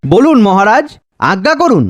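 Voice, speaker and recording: Man voicing a very high-pitched ghostly courtier. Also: very animated, normal speed, a little hiss or hum. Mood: deferential. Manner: explanatory, courtly. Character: matter-of-fact male ghostly gandharva courtier, deferential. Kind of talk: cartoon voice acting.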